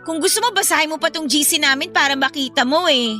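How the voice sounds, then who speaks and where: Actress as whiny Gen Z little sister, very high, bright voice, Filipino accent, cheeky, audio drama. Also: female voice, fast talker. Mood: cheeky, teasing. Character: whiny, excuse-making Gen Z student younger sister. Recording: music behind the voice. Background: music.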